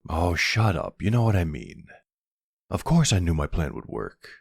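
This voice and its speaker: A man playing a flirty, cocky vampire with a gravelly, husky voice, teasing the listener in an intimate ASMR roleplay. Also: low voice, sing-song, normal speed, no room sound, clean studio sound, full character voice. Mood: exhilarated, teasing. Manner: teasing, sardonic drawl.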